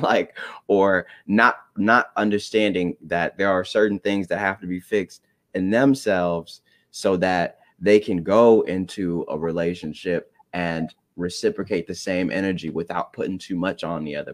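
A man's voice: deep voice, unhurried. A man co-hosting a faith podcast, earnestly working his way toward a question. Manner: earnest, searching, raising a new point at length. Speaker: podcast co-host. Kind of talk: casual chat.

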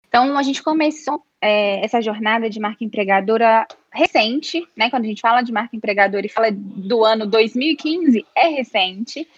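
Mineiro woman guest, higher voice, friendly and a bit nervous, on a dull, dry home mic. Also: moderate pace, hardly any pauses, breathy edge. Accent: Mineiro Brazilian Portuguese. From Minas Gerais, Brazil. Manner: friendly, slightly nervous, many fillers. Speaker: podcast guest. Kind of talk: casual interview. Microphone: home office setup.

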